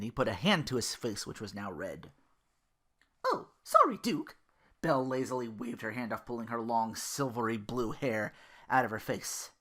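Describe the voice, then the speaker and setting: A podcast host reading fan fiction aloud in an animated, expressive way. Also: mid-range voice. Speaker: host and voice performer.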